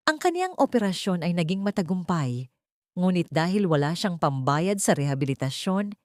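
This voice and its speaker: A woman narrator playing a gentle, dutiful secretary in an audio story. Her voice sits a little low and is recorded dry, with soft, respectful delivery. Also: dry recording. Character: Sofia, gentle dutiful female secretary reporting to her boss.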